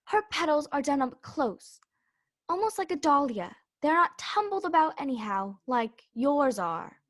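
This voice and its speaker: A woman's voice: high-pitched, sing-song, measured pace, fairly bright tone, exaggerated voice. A woman voicing a bossy, haughty talking tiger lily in a children's read-aloud play, with an imperious, scolding manner. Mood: haughty, lecturing.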